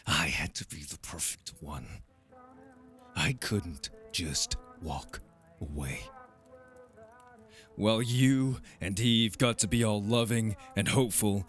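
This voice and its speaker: A man's voice: low voice, a bit bright. A man playing a proud, wounded young vampire hardened into bitterness, with intense, accusing delivery in an audio drama. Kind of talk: dramatic audio roleplay acting.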